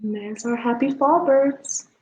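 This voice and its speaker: A woman's voice: a little high, measured pace. A woman reading a children's picture book aloud, expressively, with chatty asides to young listeners. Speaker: storybook reader for a parks program. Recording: roomy, echoing sound.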